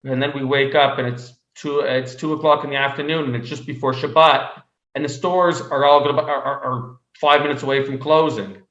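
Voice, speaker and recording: A man from Canada speaking as an interview guest, telling personal stories conversationally in a halting way, often repeating words. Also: medium pitch, steady tone, fairly bright tone. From Canada (Montreal). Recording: roomy echo, slightly dull sound.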